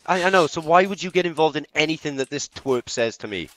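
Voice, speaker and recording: A man's voice: fairly high pitch, expressive, fast talker, a bit bright. A man in a heated argument on game voice chat, swearing and hurling insults. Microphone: gaming voice chat microphone.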